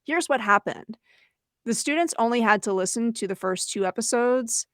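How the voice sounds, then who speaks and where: A woman's voice: fairly high pitch, lively intonation, moderate pace, fairly bright tone, no echo, clean studio sound. A woman co-hosting an educational webinar, giving recommendations in an earnest, encouraging, conversational way. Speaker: educator and co-host.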